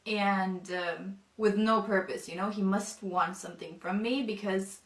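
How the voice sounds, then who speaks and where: A young woman, not a native English speaker, telling her personal testimony thoughtfully, with many pauses and fillers. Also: mid-range voice, steady tone, echoey room, far from the mic. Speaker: convert telling her story.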